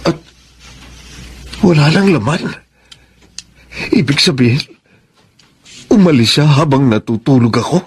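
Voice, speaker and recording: An actor playing a heartbroken, regretful middle-aged husband in a Filipino radio drama, speaking in an anguished, dramatic way. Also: male voice, on the high side, lively intonation, slight background noise. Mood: anxious searching. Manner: anguished, slow dramatic delivery.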